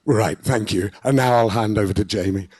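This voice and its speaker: A man with a hoarse, failing voice giving a formal, halting introduction of a speaker at a conference. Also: fairly low pitch, a little mellow. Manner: halting, with fillers. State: hoarse, losing his voice. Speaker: event host introducing a speaker. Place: conference hall.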